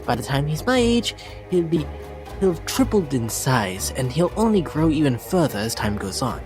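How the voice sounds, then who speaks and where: A performer puts on a vain lady pony with prim, affected airs in a dramatic fan reading. The delivery is theatrical and genteel, swooping widely in pitch with an unhinged, lovesick edge. Instrumental music plays clearly behind the voice. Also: big pitch swings, moderate pace, close to an everyday voice. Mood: dainty, melodramatic. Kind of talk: dramatic reading of a fan-made character blog.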